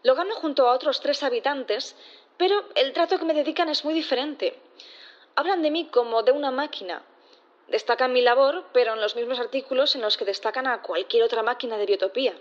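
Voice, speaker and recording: An actress playing an embittered, envious robot on trial, giving a measured, deliberate confession in an audio drama. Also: female voice, high voice, lo-fi sound, lightly acted. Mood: bitter, measured. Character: embittered robot defendant, envious, yearning to be human. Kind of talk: dramatic courtroom monologue in audio fiction.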